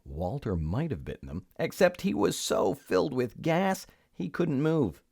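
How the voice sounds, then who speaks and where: A man's voice: mid-range voice, wildly swooping pitch, measured pace, gravelly, a little mellow, no room sound, clean studio sound. A man reading a children's story aloud, expressively and with theatrical emphasis. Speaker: storybook reader.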